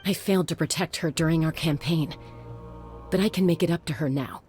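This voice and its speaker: A woman's voice: low voice, fairly quick, a little mellow, soft background music, lightly acted. A woman voicing a resolute, seasoned female warrior in a game, speaking firmly and matter-of-factly. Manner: firm, matter-of-fact. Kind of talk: game voice acting.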